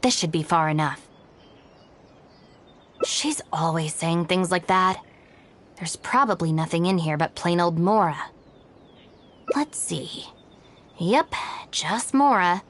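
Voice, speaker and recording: A woman playing a blunt, proud young woman in a video game, with a brisk, dry, guarded delivery. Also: on the low side, a bit bright, slight background noise. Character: blunt, proud young woman, reluctant former shrine maiden. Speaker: game character.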